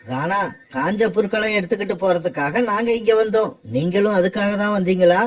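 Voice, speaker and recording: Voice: mid-range voice, brisk, natural voice, low-quality recording. A performer voicing a headstrong, proud crow in a defiant, emphatic way for a children's cartoon fable. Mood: matter-of-fact. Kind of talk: cartoon voice acting.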